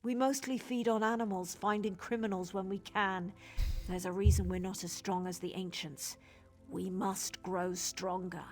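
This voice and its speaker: A woman playing a starved young female vampire in a video game, husky and pleading, with dramatic intensity. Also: medium pitch, fairly even intonation, normal speed, faint music behind, natural voice. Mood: desperate, defiant. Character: young female vampire, starved, guilt-ridden and desperate for blood.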